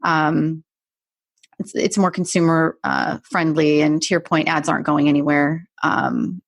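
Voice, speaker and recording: A woman hosting a conversational business podcast interview, agreeable and quick to affirm what her guest says. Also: low voice, flat delivery, gravelly, dry recording, studio quality. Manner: agreeable, frequent short affirmations. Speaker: podcast host.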